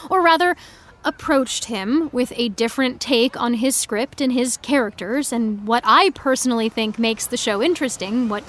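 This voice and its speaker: High-voiced, opinionated teenage fangirl. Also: fairly bright tone. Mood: hesitant, defensive. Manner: earnest, articulate, indignant teen speech. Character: frustrated, opinionated high school senior fangirl. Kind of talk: naturalistic audio drama dialogue.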